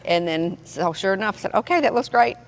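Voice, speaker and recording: A woman in her sixties, a guest mother on a podcast, telling stories in an animated way and laughing often. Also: mid-range voice, fairly quick. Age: 60s. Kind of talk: casual storytelling. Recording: faint noise with long reverb.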